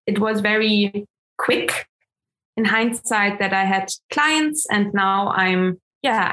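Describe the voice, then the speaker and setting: A woman with a German accent, whose first language is German, speaking as an astrologer guest in an interview, pausing often and restarting her sentences. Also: medium pitch, a bit bright, rough recording. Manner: hesitant, many ums and restarts. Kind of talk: interview conversation.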